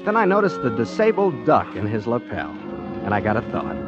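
A man's voice: mid-range voice, sing-song, brisk, natural voice. A man playing an affable, naive, scatterbrained amateur private detective in a 1940s radio comedy drama. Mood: wry narration. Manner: mild, polite, scatterbrained, hesitant. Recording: muffled vintage 1940s radio recording, music behind.

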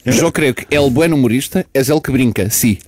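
A man's voice: medium pitch, a little fast, no room sound, lightly acted. A man putting on a Portuguese guy mangling Spanish. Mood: mock-serious. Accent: Portuguese mixed with Spanish. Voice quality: flat, robotic Spanish-tinged cadence. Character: Portuguese man speaking with put-on Spanish intonation. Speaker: comedian guest in a sketch. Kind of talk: comedy sketch on breakfast radio.

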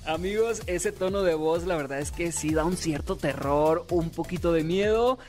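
A man's voice: a little high, normal speed, a little mellow, soft background music. A man with a Mexican accent hosting a radio gossip show, chatty and playful, leaning on catchphrases.

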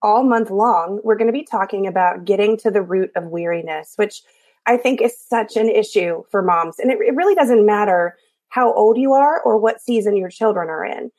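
A woman's voice: medium pitch, normal speed, a little mellow, no room sound. A woman podcast host with an Appalachian accent, her voice scratchy from allergies, talking in an encouraging, chatty way with small jokes. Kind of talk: scripted podcast intro and casual chat.

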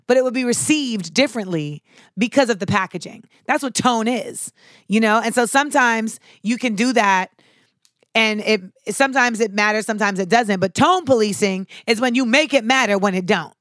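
A woman talking casually to her viewers in a sharp, clipped way, with casual swearing. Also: a little high, normal speed, dry recording, studio quality. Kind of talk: casual monologue to camera.

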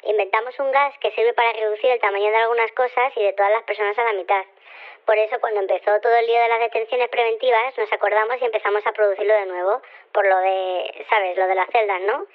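A woman's voice: medium pitch, steady tone, quick pace. An actress playing a shrunken, sheepish woman scientist who rambles evasively in a small voice over a telephone line in an audio drama. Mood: rambling, justifying. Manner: rambling, casual, self-correcting, tag questions. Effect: telephone filter. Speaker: phone-in caller. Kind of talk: Spanish audio fiction radio phone-in. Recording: thin, band-limited telephone line.

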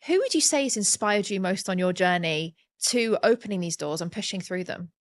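A woman's voice: mid-range voice, lively intonation, fairly quick. A woman hosting a podcast interview. She asks rambling questions and encourages her guest. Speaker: podcast host.

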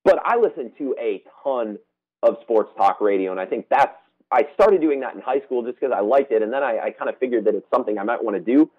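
A man's voice: medium pitch, lively intonation, phone-quality sound. A man, a student radio host speaking as a guest in an earnest, eager way. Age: 20s. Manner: earnest, eager, quick-talking. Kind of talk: casual interview chat.

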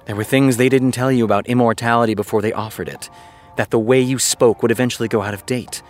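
A man's voice: medium pitch, steady tone, fast, faint music behind, natural voice. A man acting in a fiction podcast as an exasperated, sarcastic TV creator who reads fan fiction aloud. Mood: reading aloud. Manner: sardonic, muttering asides between read passages.

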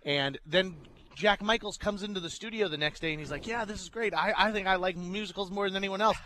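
A man's voice: high-pitched, fairly quick, sharp tone, a little hiss or hum. A man on a radio show telling stories in casual, jokey banter. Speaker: radio personality and playwright.

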